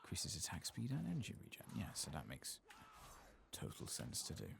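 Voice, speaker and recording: A man giving soft-spoken, partly whispered ASMR commentary over a video game, murmuring and thinking aloud in an unhurried way. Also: a bass voice, wildly swooping pitch, vocal fry, noisy background. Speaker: ASMR gaming streamer.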